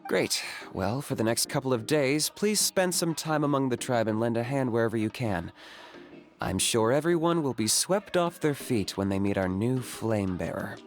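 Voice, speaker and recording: An actor playing a cool-headed young male hunter for hire in a video game, calm and matter-of-fact. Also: mid-range voice, moderate pace, natural voice. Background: game music.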